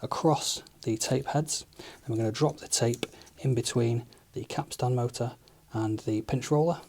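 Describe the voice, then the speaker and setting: A man giving a relaxed, methodical hands-on tutorial as a studio engineer, walking through each step with the odd filler. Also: medium pitch, a little slow. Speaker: studio engineer demonstrating equipment.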